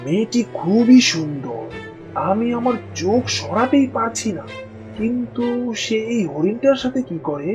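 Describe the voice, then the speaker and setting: Man as a high-voiced, smitten young prince. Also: very animated, measured pace, a little mellow, faint music behind. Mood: wonder.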